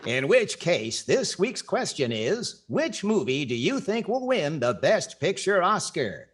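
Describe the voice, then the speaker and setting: A man's voice: sits high, sing-song, measured pace, a little hiss or hum. An older man with an American accent acting a wry, wordy, playfully pompous host in 1940s radio comedy style.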